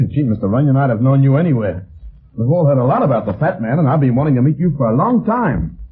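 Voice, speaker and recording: An actor playing an eager, admiring suburban police officer in an old radio drama, deferential and chatty. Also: male voice, medium pitch, sing-song, fast, husky. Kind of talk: old-time radio acting. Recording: muffled, phone-like old radio recording.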